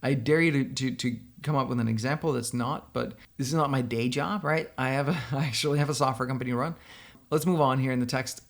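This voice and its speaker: A man from Denmark with a Danish accent, a software company founder giving opinionated, casual commentary straight to the viewer. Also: medium pitch, fast, gravelly. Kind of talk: casual commentary responding to a blog post.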